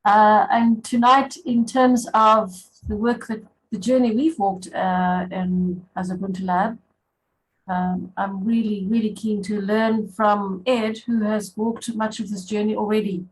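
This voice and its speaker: A woman introducing herself on a video call, earnest and halting, with frequent restarts. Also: mid-range voice, steady tone, poor audio.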